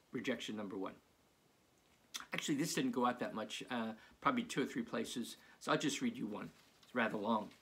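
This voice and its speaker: Male author-narrator for children's books: mid-pitched, very expressive, slightly rough voice. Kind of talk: animated storybook reading aloud.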